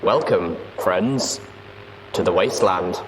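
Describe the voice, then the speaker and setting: A man's voice: low voice, slow, heavy background noise. A man hosting an audiobook podcast, giving a friendly introduction. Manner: friendly, lively sign-on.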